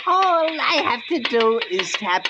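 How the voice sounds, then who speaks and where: Deranged lighthouse keeper, gleeful taunting, slow sing-song, vintage radio drama, muffled and very noisy recording. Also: male voice, extremely high pitch, very animated, heavily acted. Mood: gleefully deranged. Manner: sing-song, cooing, giggling madness. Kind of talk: 1950s radio drama acting.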